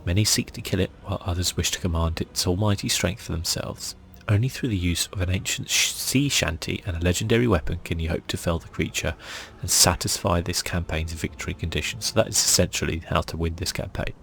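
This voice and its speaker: A man hosting a gaming channel, speaking in a soft, hushed, relaxed ASMR style as he comments and reads aloud close to the mic. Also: fairly quick. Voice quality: hushed, breathy, creaky. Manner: relaxed, low-key.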